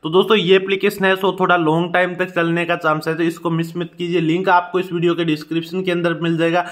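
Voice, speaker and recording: High-pitched man narrating an app walkthrough. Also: quick pace. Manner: steady repetitive tutorial patter, Hinglish fillers. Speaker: YouTube app reviewer. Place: indoors, filming a phone screen.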